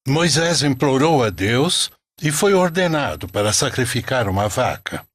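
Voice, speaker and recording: A man narrating a scripted religious story for an animated film. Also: mid-range voice, measured pace, dry recording, clean studio sound.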